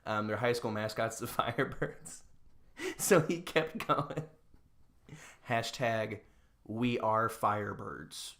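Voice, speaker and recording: A man's voice: fairly low pitch, slow pace, vocal fry, pauses often, a bit bright. A young man co-hosting a podcast, telling anecdotes casually with a jokey, mildly sarcastic manner. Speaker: podcast co-host.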